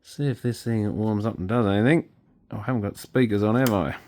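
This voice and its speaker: A man's voice: on the low side, fairly quick, a little mellow. A man with an Australian accent giving casual, unscripted hands-on commentary as a repairer, musing in an understated way. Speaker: electronics repairer presenting his channel.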